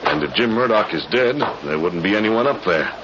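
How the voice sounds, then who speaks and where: Deep-voiced masked western hero. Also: male voice, expressive. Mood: resolute. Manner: measured, earnest, formal diction. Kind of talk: old-time radio western acting. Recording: muffled, noisy vintage radio recording.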